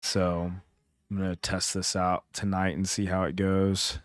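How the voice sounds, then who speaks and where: A man with a naturally deep voice chatting casually and rambling on a gaming livestream, with slang and swearing, into a close mic. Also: monotone, measured pace, dry recording. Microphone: close streaming mic, amplified.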